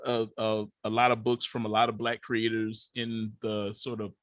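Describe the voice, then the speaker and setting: A man on a panel, introducing his comics work, quick and halting with restarts. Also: fairly low pitch, monotone, a bit bright, no echo, studio quality. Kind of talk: panel talk on a video call.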